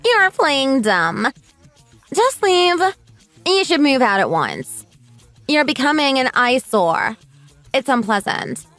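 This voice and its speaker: A woman's voice: high-pitched, big pitch swings, takes it slowly, pauses often, fairly bright tone, no room sound, faint music behind, pitched above the performer's own voice, exaggerated voice. A woman voicing a snobbish, bullying rich mother in a radio drama, haughty, sneering and exaggerated. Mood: sneering, threatening.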